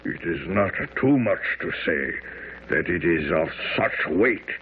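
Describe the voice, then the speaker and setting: A man's voice: sits low, lively intonation, slow, a little hiss or hum. An actor playing a haughty, pompous Bohemian king with a German accent, speaking formally in a radio drama. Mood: imperious, guarded. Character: imposing, haughty Bohemian king incognito, very tall. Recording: tinny, phone-like old radio recording.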